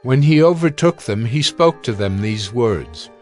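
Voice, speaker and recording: A man's voice: medium pitch, animated, measured pace, soft background music. Male narrator reading scripture.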